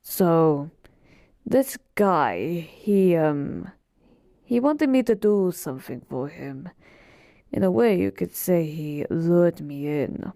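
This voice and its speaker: A woman playing a polite, weary genie bound to serve, speaking in a soft, measured, wistful way in an intimate audio roleplay. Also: on the low side, animated, creaky, no echo, studio quality, exaggerated voice. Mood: somber, resigned. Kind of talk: slow, intimate roleplay monologue to a listener.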